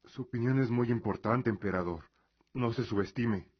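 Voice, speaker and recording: Guy acting proud warlord dad, impulsive, husky rough voice, radio play, dull lo-fi audio. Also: medium pitch, moderate pace, close to an everyday voice. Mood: deferential. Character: proud, impulsive middle-aged warrior lord and father. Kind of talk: scripted audio drama acting. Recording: dull lo-fi recording.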